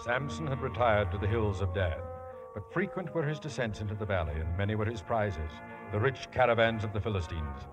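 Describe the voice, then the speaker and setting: An actor playing a solemn biblical storyteller, measured and formal, narrating a 1950s radio drama. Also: male voice, lowish voice, expressive, loud music behind the voice, natural voice.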